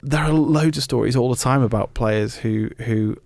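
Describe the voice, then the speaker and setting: A man's voice: medium pitch, normal speed, gravelly, a little mellow, no room sound. A man presenting a podcast in an informal, discursive and thoughtful conversational way. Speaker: podcast host.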